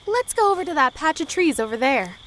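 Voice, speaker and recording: A woman acting a brave, polite but defiant high school girl in a period audio drama, with folksy speech. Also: big pitch swings, a little fast, fairly bright tone, a little hiss or hum. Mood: teasing, playful. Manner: folksy dialect grammar, plucky. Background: crickets and night insects.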